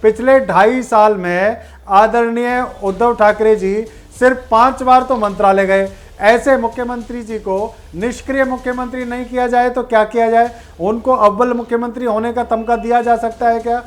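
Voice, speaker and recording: A man's voice: very high voice, fairly even intonation, moderate pace. A man arguing as a party spokesperson in a political debate, firm and emphatic as he explains.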